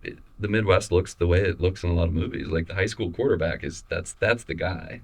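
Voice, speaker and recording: Very deep-voiced man, easygoing and halting. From Missouri, USA. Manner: relaxed, halting, many restarts and fillers. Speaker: photographer guest. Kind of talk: casual interview conversation.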